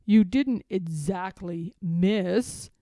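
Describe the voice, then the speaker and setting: A woman voicing an earnest, self-important male toy bear from a children's storybook, speaking deliberately. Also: medium pitch, warm tone, dry recording, clean studio sound. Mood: pleading, worried. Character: earnest, pompous little toy bear, male, slow-thinking.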